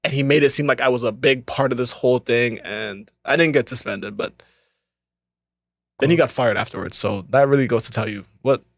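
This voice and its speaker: Young man, podcast co-host, animated storytelling, dry close mic. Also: medium pitch, brisk, no room sound. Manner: animated, acts out quotes in voices. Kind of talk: casual storytelling.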